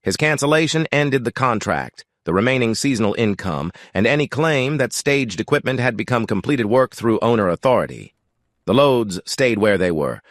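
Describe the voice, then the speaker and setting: A man narrating a first-person story in a measured, sober way. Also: on the low side, a bit bright, no echo, clean studio sound.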